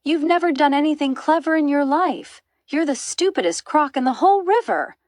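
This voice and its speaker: A woman's voice: high voice, lively intonation, normal speed, darkish tone, dry recording, studio quality, pitched above the performer's own voice. A woman voicing a smaller, blunt crocodile in a storybook, scornful and exclaiming. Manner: scoffing, exclamatory.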